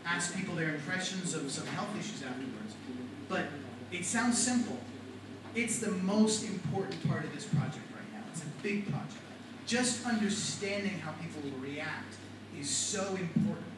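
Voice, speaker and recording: A middle-aged man teaching a classroom lecture on rhetoric, lively and asking the class questions. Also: high voice, normal speed. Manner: animated, talks to the class with questions. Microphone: far from the mic. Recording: echoey classroom from far off, noisy.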